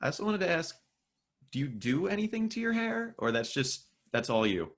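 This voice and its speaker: Halting friendly male interviewer. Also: fairly high pitch, big pitch swings, fairly bright tone. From United States. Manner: friendly, halting questions with restarts. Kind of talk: casual interview.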